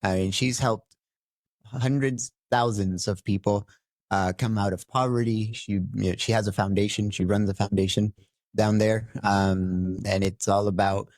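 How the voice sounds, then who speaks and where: Low-voiced Costa Rican man, dry sound. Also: fairly even intonation, a little mellow, no room sound. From Costa Rica. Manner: halting, many fillers and restarts. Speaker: guest. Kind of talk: interview storytelling.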